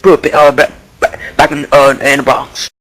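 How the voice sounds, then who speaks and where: Guy doing a dopey young ballplayer, cocky and slangy, bit higher voice, um-ing a lot. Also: flat delivery, normal speed, fairly bright tone, lightly acted, low-quality recording. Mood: cocky, rambling. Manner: rambling, stalling with fillers, slangy. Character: dim-witted young male baseball prospect, deli-obsessed, Bronx swagger. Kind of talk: improvised mock interview.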